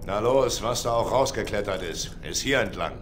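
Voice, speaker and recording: Casting: male actor as older war veteran for a game, very low voice, gruff, dry, commanding, noisy ambience behind. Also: normal speed, natural voice. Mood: terse, commanding. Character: gruff, weathered veteran soldier father, dry-witted. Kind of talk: German video game dubbing.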